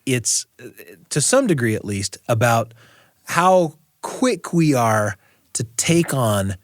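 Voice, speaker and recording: A man's voice: medium pitch, sing-song, slow pace, dry recording, studio quality. An American man hosting a radio show, chatting and interviewing in a reflective, folksy, exclamatory way. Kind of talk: conversational interview.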